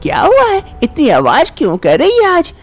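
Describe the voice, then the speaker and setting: A woman's voice: sits high, huge pitch swings, moderate pace, pitched above the performer's own voice. A woman doing a fussy, fretful old mother-in-law. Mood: fussing. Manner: scolding, exclaiming, fretful.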